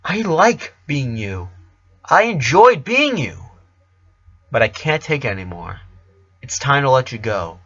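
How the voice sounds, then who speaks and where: A man's voice: medium pitch, sing-song, unhurried, pauses often, fairly bright tone, breathy edge, muffled recording, heavily acted. A man voicing an earnest, resolute young female pony singer, defiant, in a storybook reading. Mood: fed up, resolute.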